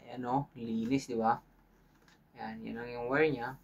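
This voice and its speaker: A man's voice: mid-range voice, fairly even intonation, unhurried, slightly rough, dull audio. A man with a Filipino accent hosts a hands-on tutorial in an informal way, thinking aloud and checking in with viewers. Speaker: tutorial host. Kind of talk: casual hands-on tutorial talk.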